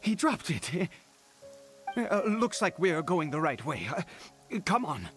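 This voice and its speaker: An actor playing an anguished, fanatical father in a video game, speaking in a halting, dramatic way and repeating words with frantic urgency. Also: on the high side, sing-song, fairly bright tone, faint music behind, exaggerated voice. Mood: worried, dismayed. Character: anguished fanatical father searching desperately for his son.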